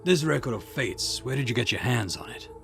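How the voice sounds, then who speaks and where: A man playing a calm, politely persistent police detective in a visual novel, measured and courteous as he questions. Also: medium pitch, vocal fry, over music. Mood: measured, wary. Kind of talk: acted visual novel dialogue.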